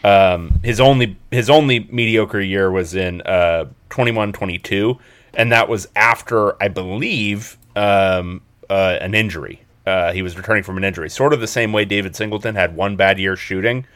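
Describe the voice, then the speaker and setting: A man's voice: sits low, normal speed, a bit bright. A man co-hosting a casual sports podcast, talking in an analytical way.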